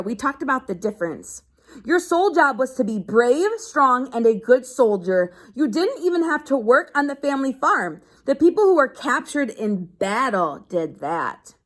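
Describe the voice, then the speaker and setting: A woman reading a children's book aloud like a teacher, pausing to explain things simply as if to young pupils. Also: sits high. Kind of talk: read-aloud lesson with simple explanatory asides.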